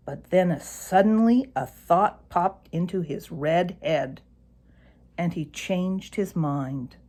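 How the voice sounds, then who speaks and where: A woman narrating a children's animal story aloud in an expressive storytelling style. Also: fairly low pitch, slow pace.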